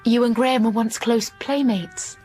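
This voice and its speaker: A woman playing a reserved, quietly firm young English schoolteacher in a period radio drama, speaking in a measured, formal way. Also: fairly high pitch, steady tone, faint music behind, close to an everyday voice.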